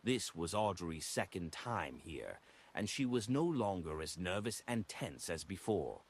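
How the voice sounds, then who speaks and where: Husky, deep-voiced male audiobook narrator. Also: big pitch swings, unhurried. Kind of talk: scripted novel narration.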